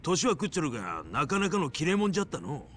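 A male actor playing a brash, cocky yakuza gangster in a video game, swaggering in rough Hiroshima dialect. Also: fairly high pitch, big pitch swings, fast, a bit bright, a little hiss or hum. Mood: rough, respectful. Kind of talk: dramatic video game acting.

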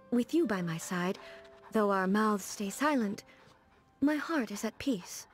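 An actress voicing a serene, dignified female warrior ruler in a video game, speaking formally and with measured composure. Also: mid-range voice, animated, faint music behind. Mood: tranquil, gentle. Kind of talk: scripted video game character dialogue.

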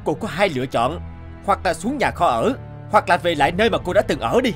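An arrogant young cartoon husband with money. His scornful, emphatic delivery swings widely in pitch over faint music. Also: big pitch swings, fairly quick.

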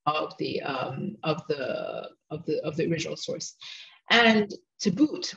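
Woman lecturer on a video call, fast, self-correcting delivery, slightly dull remote audio. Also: lowish voice. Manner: quick, with frequent restarts and self-corrections. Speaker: invited seminar speaker. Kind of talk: academic lecture over slides. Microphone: video call microphone.

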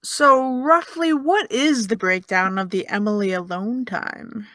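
A woman's voice: medium pitch, measured pace, a bit bright, dry recording, clean studio sound, close to an everyday voice. A young actress playing a sarcastic young woman who teases and needles in an audio drama. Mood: mocking. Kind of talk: audio drama dialogue of a video call.

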